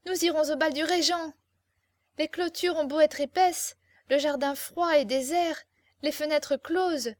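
Woman playing a capricious young beauty, high pitch, imperious, impassioned, theatrical, storybook captive. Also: moderate pace, dry recording, studio quality, close to an everyday voice. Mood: elated. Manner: impassioned, demanding, theatrical exclamations. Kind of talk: dramatic storybook reading.

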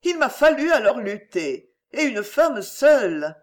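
A woman's voice: fairly high pitch, big pitch swings, a little slow, pitched above the performer's own voice. A woman voicing a self-pitying, scheming middle-aged mother in an audiobook, plaintive, melodramatic and wheedling. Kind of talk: acted audiobook dialogue.

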